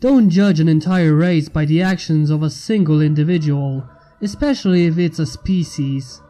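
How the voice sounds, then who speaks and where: A man voicing a calm, coldly logical inventor leader in a story reading, in a nonchalant, formal, measured manner. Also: a little high, soft background music, close to an everyday voice. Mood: calm, firm.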